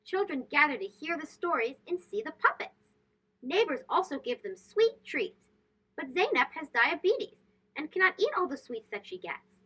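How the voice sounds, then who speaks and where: A woman reading a picture book aloud to young children in an expressive, sing-song, emphatic way. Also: high voice, normal speed, low-quality recording. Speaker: children's read-aloud host. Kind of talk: animated storybook read-aloud for young children.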